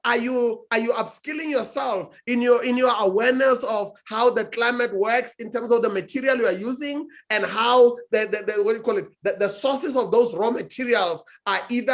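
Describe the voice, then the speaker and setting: A man's voice: very high-pitched, fairly bright tone. Emphatic man speaking as a talk-show guest. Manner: emphatic, with many restarts and "what do you call it". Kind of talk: motivational talk-show answer.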